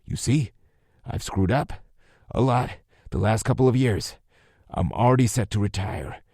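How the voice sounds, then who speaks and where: A man's voice: on the low side, animated, unhurried, warm tone, breathy edge, no echo, clean studio sound, pitched above the performer's own voice, full character voice. A man voicing a ruthless, sarcastic male officer who barks commands. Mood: menacing. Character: gruff hard-edged male officer, ruthless.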